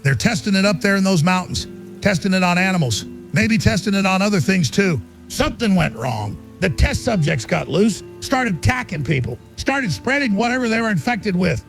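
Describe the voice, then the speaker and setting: Casting: male actor as fiery underground radio conspiracist, high male voice, suspicious and urgent, punchy questions, music bed. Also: expressive, normal speed, creaky, poor audio. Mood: urgent, conspiratorial. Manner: punchy rhetorical questions, insistent. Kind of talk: dramatic pirate radio monologue.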